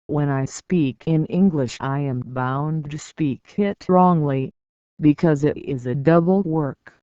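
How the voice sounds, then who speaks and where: An audiobook reader giving a scripted narration, reading in a measured, deliberate way with pauses between phrases. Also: mid-range voice, dark tone, clear, resonant tone, dry recording, muffled recording.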